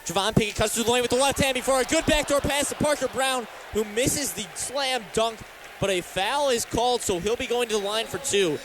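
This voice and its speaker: A man's voice: very high-pitched, a little fast. An American man giving live basketball play-by-play commentary as a radio announcer from courtside. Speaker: radio play-by-play announcer and sports talk host. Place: courtside in a sports arena. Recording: live arena broadcast with faint background noise.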